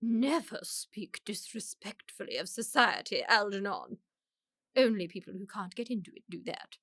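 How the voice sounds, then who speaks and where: An actress playing a haughty upper-class English society matriarch in a radio comedy, making pompous, emphatic, drawn-out pronouncements. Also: female voice, mid-range voice, a bit bright, no echo, studio quality. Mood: condescending. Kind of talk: radio play comedy of manners.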